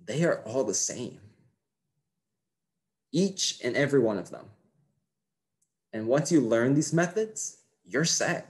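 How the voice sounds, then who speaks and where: A man's voice: medium pitch, expressive, slow, long pauses, a little mellow. A man playing a brash, cocky seminar guru in a monologue, preaching in emphatic, punchy short phrases. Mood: contemptuous, insistent. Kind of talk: motivational seminar monologue, stage acting.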